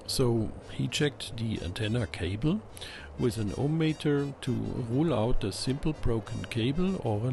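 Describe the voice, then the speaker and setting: Man narrating, slightly gravelly voice. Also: medium pitch, darkish tone. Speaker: presenter of an electronics channel. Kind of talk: scripted explanatory narration.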